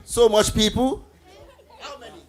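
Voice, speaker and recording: Quiz host for a children's Bible event: slow delivery, medium pitch, encouraging, repeats answers to the group. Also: steady tone, some chatter behind. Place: live group event with children before a stage.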